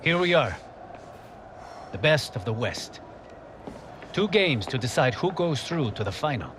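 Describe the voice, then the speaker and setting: Passionate football coach, swinging pitch. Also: male voice, big pitch swings, background noise. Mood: challenging. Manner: emphatic, measured, rousing. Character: passionate, commanding football coach rallying his team. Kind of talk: dramatic team talk in a game cutscene.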